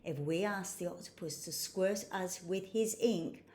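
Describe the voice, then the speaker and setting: A woman voicing an eager, hopeful young male spoonbill in a children's storybook, with emphatic, drawn-out delivery. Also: lowish voice, darkish tone, a little hiss or hum, pitched above the performer's own voice, lightly acted.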